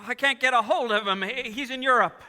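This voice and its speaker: An actor playing a frantic young family man in a radio play, with a stammering, excitable delivery. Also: extremely high pitch, fairly quick, heavily acted. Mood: desperate, pleading. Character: frantic, hot-tempered young family man at breaking point. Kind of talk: 1940s radio play acting. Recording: reverberant hall, live stage taping.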